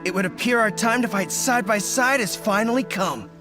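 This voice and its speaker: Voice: mid-range voice, moderate pace, over music, natural voice. An actor playing an earnest, loyal young male resistance general in a video game, resolute and formal in delivery. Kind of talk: dramatic video game voice acting.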